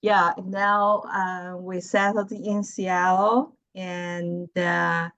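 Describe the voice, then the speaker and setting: A woman from mainland China with a Chinese accent, chatting on a video call as a guest, pausing and searching for words. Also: fairly low pitch, level tone, fairly bright tone, no echo. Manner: halting, searching for words, laughs often. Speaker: guest, lawyer. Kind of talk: casual video-call chat. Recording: muffled video call audio.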